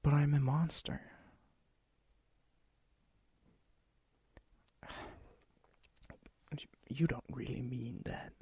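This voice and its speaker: A man playing a lonely, gentle, melancholy vampire in an ASMR roleplay, speaking softly and hesitantly, often near a whisper. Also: medium pitch, steady tone, breathy edge, no echo, heavily acted. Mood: nervous, confessing. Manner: hesitant, wistful, halting. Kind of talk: ASMR roleplay, intimate acted monologue.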